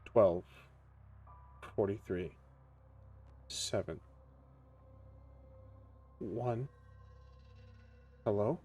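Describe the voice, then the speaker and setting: Man voicing halting, low-pitched radio entity Faye. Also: dark tone, breathy edge, soft background music, exaggerated voice. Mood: tentative. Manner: halting, hesitant, apologetic. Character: lonely, uncertain sentient radio number-station named Faye.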